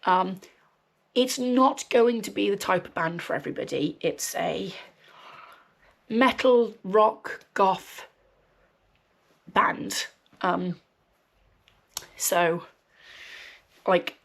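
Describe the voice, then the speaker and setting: Gravelly woman with a cold. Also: mid-range voice, expressive, slow, long pauses. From West Midlands, England. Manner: chatty, frequent ums, dry swearing asides. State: recovering from a cold, slightly nasal and wheezy. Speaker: podcast host. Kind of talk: casual rambling chat.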